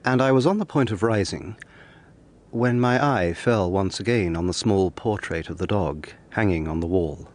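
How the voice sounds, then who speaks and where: An actor playing an earnest young gentleman lawyer of the 1720s, formal and measured, narrating and acting in a period audio drama. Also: low-pitched, natural voice. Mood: solemn, resolute.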